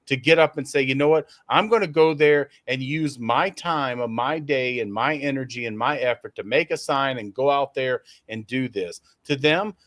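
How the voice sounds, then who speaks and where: A man's voice: medium pitch, dry recording. A man hosting a casual podcast chat, joking with dry sarcasm.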